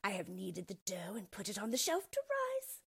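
A woman voicing a cruel, greedy old witch with a shrill, bossy manner. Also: wildly swooping pitch, moderate pace, gravelly, a bit bright, breathy edge, no echo, full character voice. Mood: menacing. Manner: impatient, bossy.

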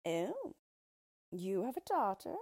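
A woman voicing an ugly, boastful male dwarf who talks in a smug, disdainful, drawn-out way, in a storybook reading. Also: medium pitch, sing-song, darkish tone, slightly rough, heavily acted.

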